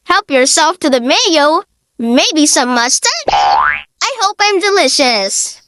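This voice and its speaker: A woman's voice: high-pitched, wildly swooping pitch, slow pace, fairly bright tone, dry recording, clean studio sound, exaggerated voice. A woman voicing a small, unafraid little boy in a children's storybook, chirpy and breezily teasing. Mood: breezy. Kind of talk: children's storybook read-aloud. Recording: noticeable background noise.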